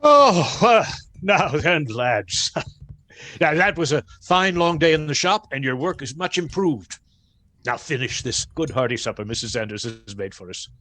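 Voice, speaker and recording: An actor playing a hearty, generous master woodcarver in a radio play, with hesitant, old-fashioned jovial talk. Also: male voice, on the high side, animated, measured pace, bright, forceful tone, no echo.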